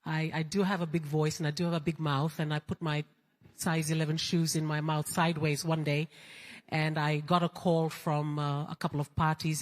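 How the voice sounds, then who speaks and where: A woman politician from Fiji, speaking on a conference panel and telling her story candidly with hesitant fillers. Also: low voice. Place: large conference hall. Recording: long hall reverb.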